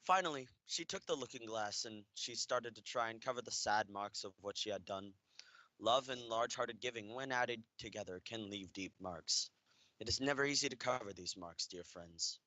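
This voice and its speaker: A young man plays an earnest storyteller narrating a radio drama. His voice is fairly low but bright and forceful. The sound is very dry. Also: moderate pace, no room sound. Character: earnest storyteller narrating a tender Christmas tale.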